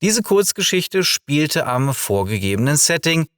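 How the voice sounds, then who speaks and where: A man reading a short story aloud as a dramatic, expressive narrator. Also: fairly high pitch, measured pace, clean tone, dry recording, studio quality. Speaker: audiobook reader.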